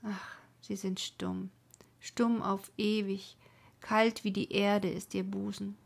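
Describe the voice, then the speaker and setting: A woman voicing a grieving young woman who laments her dead lover in a dramatic poetry reading, with swelling calls and breathy whispers. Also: mid-range voice, little pitch movement, slow pace. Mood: grief-stricken.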